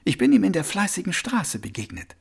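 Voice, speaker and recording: A man voicing a cool, sharp-tongued young streetwise woman in an audiobook, with a dry, mocking, brisk delivery. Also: on the high side, big pitch swings, slightly rough, exaggerated voice. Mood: flippant.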